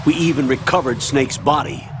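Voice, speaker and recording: An older military commander acted by a man in a video game. He speaks at a middling pitch, firm and matter-of-fact. Noisy ambience is clearly heard in the background. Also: fairly even intonation, normal speed, lightly acted. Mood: firm, grave.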